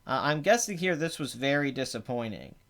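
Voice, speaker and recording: A man with a raspy, phlegmy voice and a clogged throat, hosting a casual, rambling box office talk with blunt, sarcastic asides. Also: medium pitch, lively intonation, a little fast, a bit bright. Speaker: box office show host. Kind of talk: casual live commentary.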